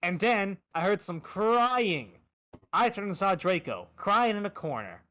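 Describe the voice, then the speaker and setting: A man's voice: sits high, big pitch swings, a little slow, sharp tone, no echo. A man reading fan fiction aloud on a stream in a comic style, amused and given to asides.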